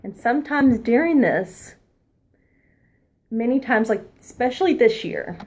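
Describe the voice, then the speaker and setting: Woman, fairly high voice, earnest, encouraging, soft whispery moments, oracle card reading. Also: animated, a little slow, husky. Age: adult past her twenties. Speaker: holistic therapist giving an oracle card reading. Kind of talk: spiritual card reading talk.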